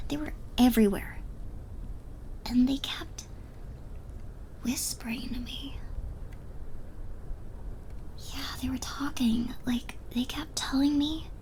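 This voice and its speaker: A woman's voice: high voice, steady tone, measured pace, long pauses, a little mellow, slight background noise, poor audio. A young woman playing a drunk, giddy, smitten rival in an ASMR roleplay, rambling and stammering as she trails off. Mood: earnest, flustered.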